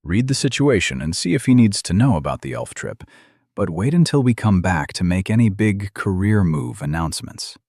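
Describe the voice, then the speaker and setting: Audiobook role: sensible young male adventurer read by a man, lowish pitch, expressive, placating. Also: moderate pace, no room sound, studio quality, full character voice. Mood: reassuring. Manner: reasoning, placating. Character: level-headed young male adventurer, placating his friends. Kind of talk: audiobook character acting.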